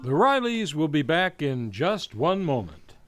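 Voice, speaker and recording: Male old-time radio announcer: high voice, formal showman delivery, music underneath. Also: sing-song, a little slow.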